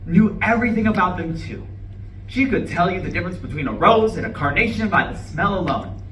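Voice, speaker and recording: A man's voice: high-pitched, expressive, brisk, a bit bright. An actor playing a distraught, irritable, newly divorced man in a live stage play reading. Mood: wistful, grieving. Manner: faltering, sighing, swinging into bitter outbursts. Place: indoor room at a live reading. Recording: echoey room, distant mic, faint noise.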